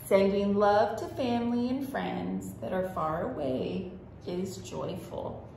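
A woman's voice: mid-range voice, slow pace, creaky, a little mellow. A woman hosting a children's storytime as a librarian, reading aloud expressively and talking simply to young children. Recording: roomy echo with faint background noise.

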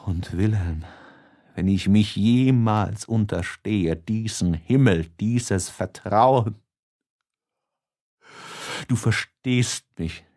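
Audiobook role: tormented young male lover, played by a male reader, slow, impassioned, sighing, sensitive. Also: on the low side, full character voice. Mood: yearning, tormented. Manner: impassioned, sighing, swinging between hush and exaltation. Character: lovestruck, tormented, sensitive young man writing letters.

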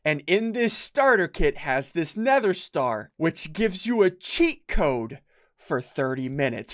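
A young man giving excitable game commentary to his viewers, laughing often, on a headset mic at his desk at home. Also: sits high. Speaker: gaming YouTuber.

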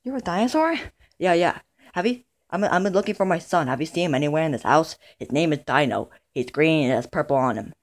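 A woman voicing a father dinosaur who speaks softly, slowly and kindly. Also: low-pitched, animated, pitched below the performer's own voice. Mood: searching. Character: gentle father dinosaur, grown male.

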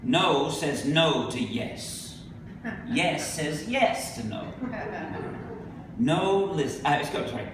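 A middle-aged man giving a live poetry reading, speaking deliberately with emphatic pauses. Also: a little high, lively intonation. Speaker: poet. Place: indoor venue with a staircase, live reading. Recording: echoey room from a distance, noisy.

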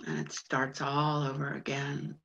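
An actress playing a lonely, lovesick woman who mops floors at a train station, talking bluntly and haltingly with plenty of swearing. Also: rumbling low, monotone, creaky, a bit bright, lo-fi sound, heavily acted. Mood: yearning. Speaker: actor in a monologue. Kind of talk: stage monologue.

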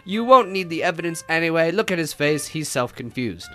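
A man's voice: a little high, animated, fairly quick, a bit bright, soft background music. A man playing a shrewd, pompous, imperious armchair detective in an old-time radio drama, speaking formally and theatrically. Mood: accusing.

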